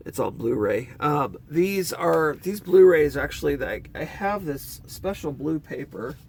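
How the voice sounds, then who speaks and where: A man with a congested, stuffy nose rambles through his movie collection, his pitch jumping all over the place. He talks slowly, with a gravelly edge in his voice. Faint background noise sits under his voice. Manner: chatty, rambling, lively swings in pitch. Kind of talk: casual collection walkthrough.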